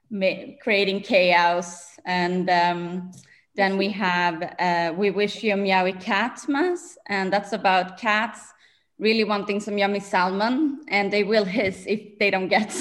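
A woman with a Swedish accent chats easily as an interview guest. Her voice sits at an ordinary pitch, and she laughs often while she thinks aloud. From Sweden. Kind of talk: casual video interview.

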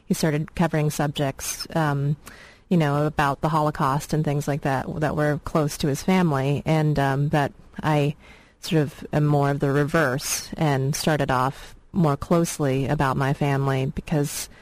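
A woman's voice: low-pitched, little pitch movement, a little slow, darkish tone, no room sound, poor audio. Reflective woman director, dry studio voice. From Vietnam. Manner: reflective, rambling, many fillers. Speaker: film director guest. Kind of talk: radio interview, personal storytelling.

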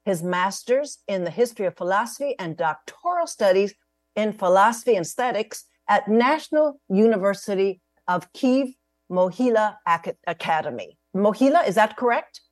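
A woman hosting an interview, reading her guest's credentials in a measured, careful way over a video call. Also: mid-range voice, expressive, no echo, clean studio sound. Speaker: host. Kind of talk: formal interview introduction.

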